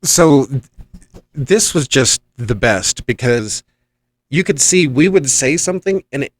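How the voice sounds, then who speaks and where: A man's voice: medium pitch, expressive, a little slow, dry recording. A man hosting a podcast, telling rambling, enthusiastic anecdotes in a casual chat. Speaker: podcast host and paranormal investigator.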